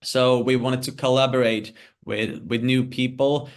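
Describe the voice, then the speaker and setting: A man's voice: mid-range voice, fairly even intonation, unhurried, clean tone. A man from Finland with a Finnish accent, a musician guest on a podcast, speaking English as a second language and laying out his points step by step with lots of "like" fillers. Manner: thoughtful, lists points with many "like" fillers. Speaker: band member, guest. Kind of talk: podcast interview answers.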